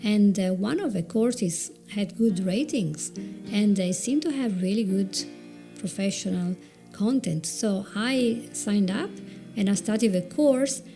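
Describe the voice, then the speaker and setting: A middle-aged woman with an Italian accent, giving video presenting tips in an earnest, chatty teaching monologue, speaking slowly with emphatic stresses. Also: medium pitch, mellow, faint music behind.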